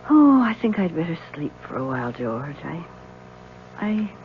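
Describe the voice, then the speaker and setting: A frail, loving wife confined to bed in an old radio play. Her delivery is drowsy and gentle. The sound is very thin, like a phone line, with a faint hum behind. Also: deep voice, sing-song, normal speed. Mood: drowsy, resigned. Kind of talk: 1970s radio drama acting.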